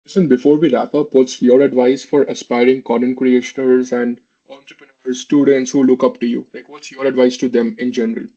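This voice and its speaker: A male podcast host asking his guest questions in a measured, straightforward way. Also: medium pitch, little pitch movement, warm tone. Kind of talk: interview questions. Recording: dull lo-fi audio.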